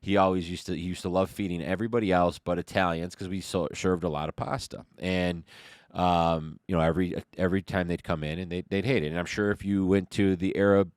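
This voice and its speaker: Deep-voiced American man, chatty podcast host. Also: steady tone, a little fast, few pauses, smooth, dry recording, studio quality. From Detroit area, east side, United States. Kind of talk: casual conversational interview.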